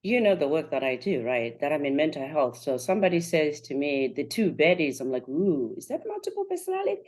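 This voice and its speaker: This is a woman with a somewhat low-pitched voice. She hosts a podcast interview in an informal, conversational style and speaks directly to both guest and audience. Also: big pitch swings, brisk, a little mellow. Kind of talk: conversational interviewing and event promotion.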